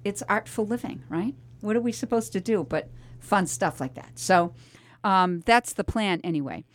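A middle-aged American woman hosting a talk radio show in a chatty, animated way with emphatic asides and rhetorical questions. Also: medium pitch, moderate pace. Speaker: radio host.

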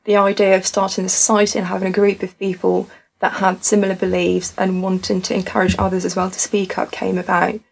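Young woman, from Tunisia, earnest podcast talk, monotone, slight vocal fry. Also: mid-range voice, low-quality recording. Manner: earnest, measured, reflective. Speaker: student society founder. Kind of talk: podcast conversation.

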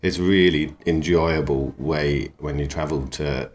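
Very deep-voiced relaxed man. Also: measured pace. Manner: easygoing, rambling, enthusiastic. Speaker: knifemaker and cook. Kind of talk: relaxed interview talk.

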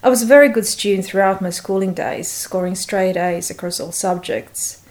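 A middle-aged woman from Bosnia, her first languages Serbian and Croatian, reading a prepared personal story in a measured way with occasional hesitations. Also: medium pitch, a little mellow. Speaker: senior lecturer.

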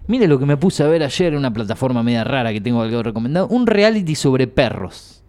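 A man's voice: mid-range voice, big pitch swings, fast talker, a little mellow. A man with an Argentine accent hosting a radio show in a studio, chatting casually and joking around. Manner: chatty, jokey, rambling. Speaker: radio host.

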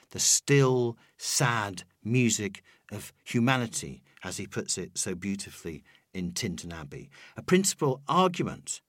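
A man reads a scripted literary essay in a medium-pitched voice. The delivery is measured and reflective, gently instructing the listener and slipping into quoted lines of verse. The sound is dry, with no room around the voice. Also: dry recording. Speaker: author reading their own audiobook.

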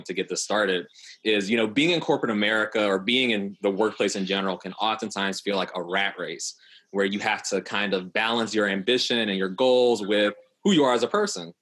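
A man's voice: fairly low pitch, fairly bright tone. A man in his late twenties hosting a panel conversation in long, flowing sentences. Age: late 20s. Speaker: podcast host.

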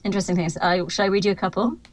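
A woman's voice: medium pitch, flat delivery, rapid-fire, no echo. A woman co-hosting a podcast in casual chat, hesitant with many ums and restarts, recording in a hotel-room cupboard on a computer mic that peaks. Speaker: podcast co-host. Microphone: computer mic, peaking.

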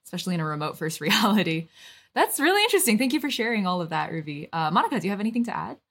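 A woman's voice: medium pitch, sing-song, rapid-fire, a bit bright. A woman hosting a company podcast, upbeat and effusive, asking open questions. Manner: upbeat, effusive, frequent "like" fillers. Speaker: podcast host. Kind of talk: interview podcast.

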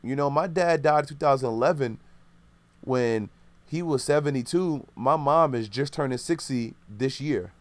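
A man's voice: medium pitch, normal speed, no room sound. A man in his thirties in casual podcast chat, rambling and opinionated, often correcting himself mid-sentence.

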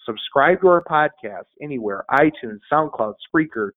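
A man's voice: mid-range voice, lively intonation, normal speed. A man guesting on a podcast, talking in a casual, upbeat way, often repeating words as he plugs his show. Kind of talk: casual promotional interview talk. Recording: phone-like band-limited audio.

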